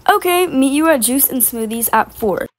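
A woman's voice: sits high, lively intonation, normal speed. A young woman voicing a confident, popular teenage girl who talks sweetly and a little bossily.

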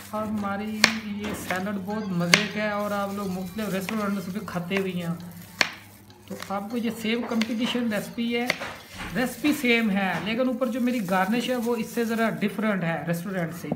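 Woman, home cook, chatty step-by-step explaining, medium pitch, roomy sound. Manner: chatty, repeats steps, admiring the food. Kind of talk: cooking tutorial, step-by-step explanation. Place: home kitchen table, indoors. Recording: roomy with loud background noise.

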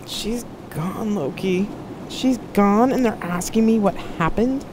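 Woman acting an anguished young male captain, pitch pushed up. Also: slow, noisy background, natural voice. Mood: grieving, bitter. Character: grief-stricken young male starship captain, anguished soldier. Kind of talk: dramatic sci-fi audiobook dialogue.

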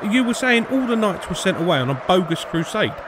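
A man playing a matey, streetwise British commoner with a quiet menace in a comic audio drama. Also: high-pitched, sing-song, fairly quick. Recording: crowd and noise behind.

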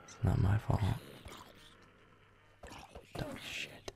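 A man playing a grumpy, sarcastic werewolf boyfriend in a gaming roleplay audio, muttering irritably with swearing in a low, breathy, gravelly voice. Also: very slow, background noise. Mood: irritated, scornful.

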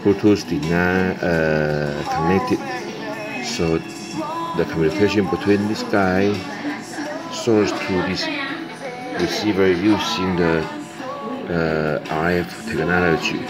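A man presenting an unscripted hands-on electronics demonstration, halting and repeating words, in non-native, broken phrasing. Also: deep voice. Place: indoors at a desk with audio gear. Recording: music playing behind the voice. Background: music from the demonstrated audio player.

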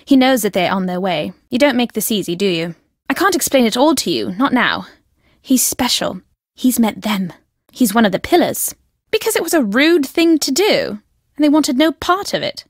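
A woman's voice: fairly high pitch, very animated, moderate pace, dry recording, clean studio sound. An actress voicing a secretive woman in a video game who believes fervently in ancient aliens. She speaks in a curt, cryptic manner that turns fervent. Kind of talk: recorded game voice lines.

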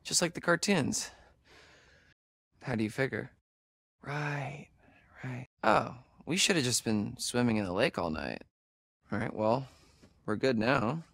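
Casting: wry young American camp counselor for a horror game; naturalistic, sarcastic, mid-pitched with vocal fry. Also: male voice, animated, a little slow, long pauses, fairly bright tone, no echo, studio quality, full character voice. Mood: exasperated, sarcastic. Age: young adult. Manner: sardonic, hesitant, conversational. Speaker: video game actor.

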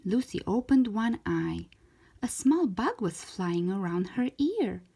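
A woman reading a storybook aloud for young children in an expressive, deliberate way, stretching out key words. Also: medium pitch, vocal fry, slightly rough. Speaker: children's storybook reader, show host.

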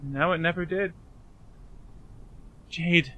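A man playing a frightened, despairing young man in an emotional fan-dub drama, confiding haltingly and pleading. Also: on the high side, fairly even intonation, a bit bright, dull audio, slight background noise. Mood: bleak, despairing.

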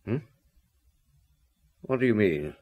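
An actor playing a shrewd police inspector who questions deliberately, in old radio drama style. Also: male voice, lowish voice, lively intonation, phone-quality sound. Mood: grim, measured. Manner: deliberate, interrogating.

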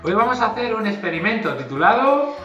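A middle-aged man with a Spain Spanish accent teaches a science experiment, giving clear instructions to children. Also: sits high, big pitch swings, a little fast, sharp tone. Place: home kitchen, indoors. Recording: roomy, distant, dull kitchen audio. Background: background music.

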